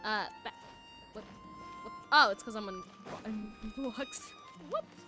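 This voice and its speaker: A woman's voice: medium pitch, slow, over music. A woman livestreaming a game with casual, playful, chatty commentary.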